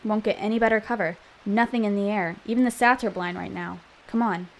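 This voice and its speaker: A woman playing an urgent covert operative in an audio drama, brisk and insistent. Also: mid-range voice, steady tone, slight background noise, lightly acted. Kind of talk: scripted audio drama acting.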